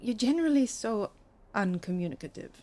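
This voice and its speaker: A woman's voice: on the high side, animated, fairly quick, a little mellow, lightly acted. A woman playing a musician's probing, teasing lover in an intimate, naturalistic audio drama, conversational and questioning. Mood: probing, tentative.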